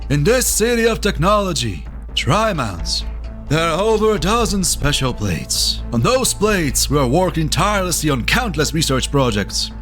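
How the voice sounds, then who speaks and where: A man's voice: fairly high pitch, a bit bright. A man playing a folksy vice president, delivering a rhetorical, declamatory political speech. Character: folksy, rousing male vice president making a public speech. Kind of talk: political oratory. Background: faint music.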